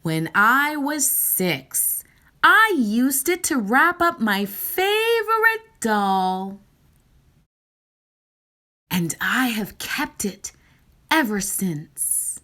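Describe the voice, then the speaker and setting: Storybook mom voiced by a female reader, reassuring but firm, high-pitched, unhurried and motherly. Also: sing-song, sharp tone, full character voice. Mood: tender. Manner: deliberate, motherly.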